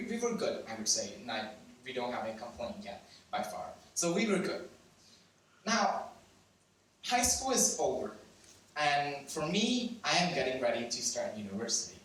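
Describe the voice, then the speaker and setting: A man's voice: on the high side, a bit bright. A young man from Cambodia with a Cambodian accent tells a personal story at a live storytelling event. He laughs often, mocks himself and swears cheekily. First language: Khmer. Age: young adult. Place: event venue with audience. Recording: very echoey room, mic at a distance.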